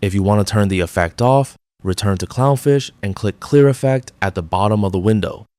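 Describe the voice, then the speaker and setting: A man reading a scripted how-to guide, giving clear step-by-step instructions. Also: lowish voice, fairly quick, a little mellow, no room sound. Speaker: tutorial narrator.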